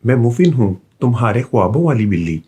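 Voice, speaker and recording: A man voicing a gentle, encouraging magical female cat in a children's storybook, reassuring like a wise mentor. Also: medium pitch, big pitch swings, a little fast, breathy edge.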